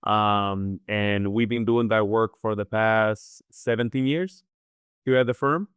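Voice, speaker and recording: A man from Chile with a low, clear voice and fairly flat intonation explains things as an expert podcast guest. He talks conversationally, often seeking agreement as he goes. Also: takes it slowly, dry recording, studio quality. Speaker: podcast guest, financial planner.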